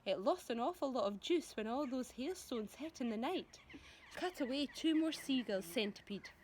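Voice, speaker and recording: Woman playing an earnest, high-pitched storybook boy hero. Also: lively intonation, moderate pace, a little mellow, slight background noise. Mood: commanding. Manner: urgent, breathless exclamations. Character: earnest young boy hero giving orders to friends.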